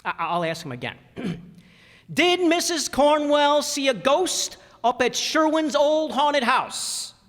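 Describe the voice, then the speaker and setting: A man's voice: very high voice, sing-song, slow pace, a bit bright, exaggerated voice. A man playing a blustering, good-natured working-class father in an old-time radio comedy, folksy and ungrammatical. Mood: mock-serious. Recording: reverberant hall stage recording.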